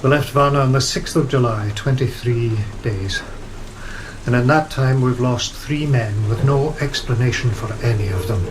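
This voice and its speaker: Rain and thunder surround a man acting a haunted old ship's captain. He is grave and devout, speaking with slow, commanding weight in a gothic radio play. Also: medium pitch, noisy background, lightly acted. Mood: brooding. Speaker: actor in audio drama. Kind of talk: gothic horror audio drama acting. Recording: storm rain and thunder over voice.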